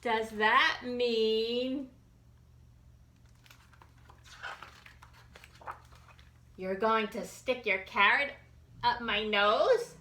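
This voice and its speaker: A woman's voice: on the high side, slow, bright, forceful tone. A woman voicing a big, kindly, timid male bear in a children's storybook, sounding innocent and easily worried. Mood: worried, slow. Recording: roomy echo, some background noise.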